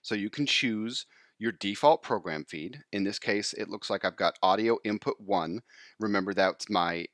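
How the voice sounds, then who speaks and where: Low-pitched man, dry tutorial voice-over. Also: normal speed, a bit bright, no room sound. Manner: informal, step-by-step explaining. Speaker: software tutorial instructor. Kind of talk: screencast tutorial, explanatory walkthrough.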